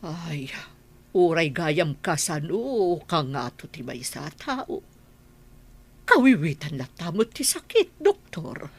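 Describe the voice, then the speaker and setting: Ailing older woman, husky, plaintive, talkative, swinging intonation, Ilocano radio drama. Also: sits low, very animated, slow pace, exaggerated voice. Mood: sympathetic.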